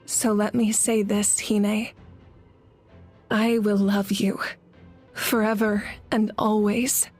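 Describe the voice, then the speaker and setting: Heroine, solemn elder sister, slow, measured, gentle, selfless, game cutscene, faint music. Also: female voice, mid-range voice, flat delivery. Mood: solemn, loving. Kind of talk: video game voice acting.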